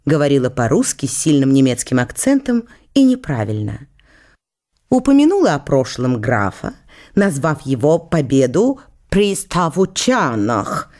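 A woman's voice: a bass voice, big pitch swings, a little slow, darkish tone. A woman narrating a historical radio drama in a dramatised literary style.

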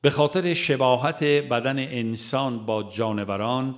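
A man narrating a religious audiobook in a measured, formal way, phrase by phrase. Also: mid-range voice. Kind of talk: scripted audiobook reading of a religious book. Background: music.

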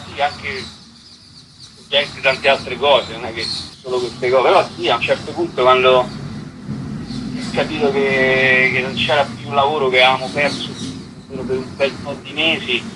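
A man's voice: medium pitch, steady tone, unhurried, background noise. A man being interviewed casually as a writer and stage actor, hesitant and often repeating words as he thinks.

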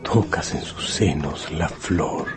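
A man with a very low voice narrates a radio drama. His delivery is solemn and unhurried, like a serious literary storyteller. Music plays clearly behind him. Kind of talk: dramatic second-person scripted narration. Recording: music bed under the voice.

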